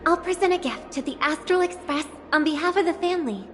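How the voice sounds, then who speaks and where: A gracious young noblewoman makes a formal request in a high, clear voice. Game music plays behind. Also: animated, fast talker. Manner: polite and formal.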